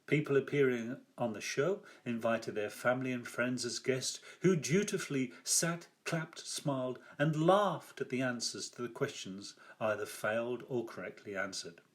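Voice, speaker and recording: An older man reading his own first-person memoir aloud as its author, with measured, deliberate phrasing. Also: mid-range voice.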